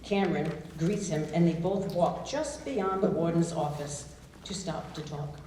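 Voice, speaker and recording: A woman's voice: lowish voice, measured pace, dark tone. A woman reading stage directions as scripted narration in a live staged reading. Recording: echoey distant live room, faint noise.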